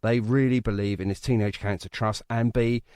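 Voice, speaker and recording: A man's voice: fairly low pitch, steady tone, fairly quick, darkish tone, clear, resonant tone, no room sound, studio quality. A middle-aged man hosting a chatty music interview, talking in an enthusiastic, rambling way. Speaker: radio and podcast host.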